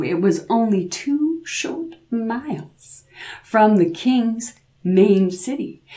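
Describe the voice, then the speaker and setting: A woman with a fairly high voice narrates an old folk tale. She is dramatic and oral in style, stretching key words and using repetition for effect. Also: dark tone. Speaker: storyteller.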